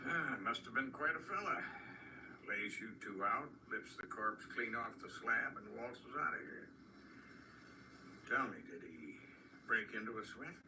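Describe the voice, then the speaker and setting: An actor playing a gruff, folksy small-town constable with an American accent, sardonic and needling, in a radio drama. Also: male voice, medium pitch, expressive, measured pace. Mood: sardonic, probing. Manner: sardonic, needling, drawling interrogation. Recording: distant mic, faint background noise.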